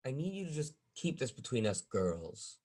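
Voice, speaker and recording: A man voicing a pushy, sinister high priestess witch with a sweetly imperious manner in a script table read. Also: very animated, moderate pace, darkish tone. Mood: coaxing.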